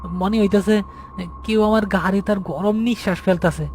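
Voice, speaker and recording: A man's voice: high voice, fairly even intonation, normal speed, darkish tone, slight background noise, lightly acted. A man voicing an anxious, hesitant young gravedigger's helper with a rural Bangladeshi accent in an audio horror story. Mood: frightened. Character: fearful young male gravedigger's helper, uneasy and respectful. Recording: music behind the voice.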